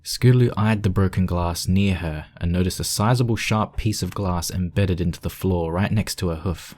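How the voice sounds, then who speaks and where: A man's voice: low-pitched, moderate pace, a little mellow. A man reading scripted story narration as the narrator of a piece of fan fiction.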